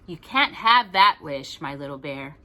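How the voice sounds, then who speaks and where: A woman's voice: low voice, lively intonation, measured pace, bright, forceful tone, voice pushed down, heavily acted. A woman reading a children's storybook, playing a patient mother bear with a playful, motherly, questioning manner. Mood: gently firm. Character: patient, gently teasing adult mother bear.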